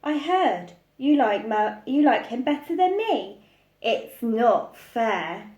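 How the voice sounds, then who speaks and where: A woman's voice: fairly high pitch, sing-song, takes it slowly, darkish tone, dull audio. A woman voicing an inquisitive, demanding little girl in a children's storybook, with a whiny, questioning manner, in an echoey room. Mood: indignant.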